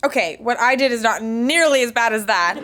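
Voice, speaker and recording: A woman acting as a bossy, peppy sitcom roommate. Her voice is high and animated, with clear noise on the recording. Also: a little fast, close to an everyday voice.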